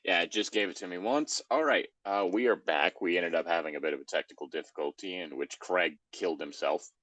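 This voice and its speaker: Guy hosting a jokey reading show, lowish voice, dry close mic sound, just bantering. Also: fairly quick, a bit bright, no room sound. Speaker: host of a comedic reading show. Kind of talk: casual comedic banter.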